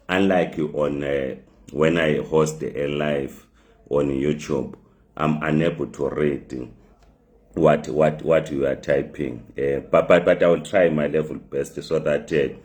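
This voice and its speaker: A man with a hoarse voice from the flu, hosting a live talk show from his phone, speaking haltingly with many fillers and repeated words. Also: a bass voice, a little mellow. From migrant living in South Africa.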